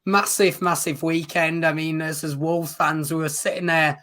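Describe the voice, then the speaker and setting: A man who has lost his voice, chatting casually as a football show co-host in an agreeable, measured way. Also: fairly high pitch, level tone, pushed and bright. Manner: measured, agreeable, uses fillers like "um" and "you know". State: losing his voice.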